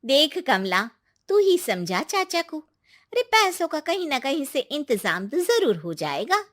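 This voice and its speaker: A woman's voice: high voice, very animated, a little slow. A woman playing a kind, reassuring village woman with secrets, in a colloquial cartoon moral-story style.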